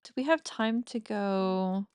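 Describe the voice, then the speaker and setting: A woman's voice: mid-range voice, slow, darkish tone, clean tone, dry recording, studio quality. A woman chatting casually while playing a cozy farming game, giggly and self-mocking, with a slightly stuffy nose. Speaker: gaming streamer. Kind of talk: casual let's-play commentary.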